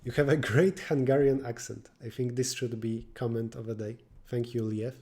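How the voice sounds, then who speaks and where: A man's voice: medium pitch, fairly even intonation, moderate pace, slightly rough. Man thinking aloud while coding. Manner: thinks aloud, answers chat between calculations; drops to quiet muttering while working. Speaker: programming live streamer.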